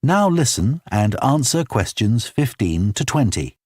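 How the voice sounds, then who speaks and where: A man reading scripted exam instructions as an announcer, formal and deliberate. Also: mid-range voice, big pitch swings, darkish tone, husky, dry recording, clean studio sound.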